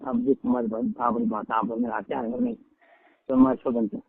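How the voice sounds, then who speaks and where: Gravelly, pleading man on a phone line. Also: little pitch movement, fairly quick. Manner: pleading, repetitive, interrupting to be heard. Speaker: father-in-law on a family phone call. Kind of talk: informal phone conversation. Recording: muffled low-fidelity phone call recording.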